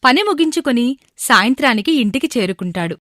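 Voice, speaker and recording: A woman's voice: a little high, sing-song, a little fast, a bit bright, no echo, studio quality. A woman narrating a scripted moral story.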